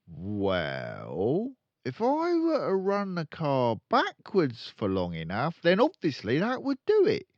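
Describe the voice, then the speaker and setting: A man voicing a rude, boastful, crooked car-dealer father in a storybook, loud and sneering. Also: fairly high pitch, huge pitch swings, slow, no echo, lo-fi sound, voice pushed up, full character voice. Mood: cocky explaining. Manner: loud bragging, sneering, colloquial.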